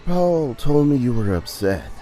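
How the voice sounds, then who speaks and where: A man's voice: medium pitch, sing-song, a little slow, mellow, faint music behind. A man voicing a patient pub manager and loyal friend, coaxing and insistent, in an audio story. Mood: concerned, urging.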